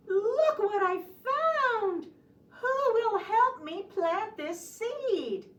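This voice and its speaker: Puppet-show hen, played by a woman: cheerful, self-reliant, sing-song, very high and very slow, recorded at a distance. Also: expressive, heavily acted. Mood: eager, asking. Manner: exaggerated, sing-song delivery. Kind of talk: children's puppet show acting. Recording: roomy, echoing, distant microphone.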